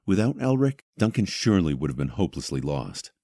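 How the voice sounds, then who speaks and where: A man narrating a fantasy audio drama in a measured, dramatic storytelling manner. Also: low voice, very animated, gravelly, mellow, dry recording, studio quality. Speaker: narrator and actor.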